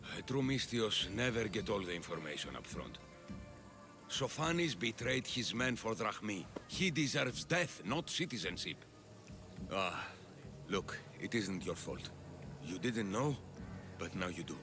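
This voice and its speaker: An actor playing a defensive, stubborn Athenian man in debt, gruff and hesitant, in a video game cutscene. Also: on the low side, big pitch swings, unhurried. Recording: studio voice with game music.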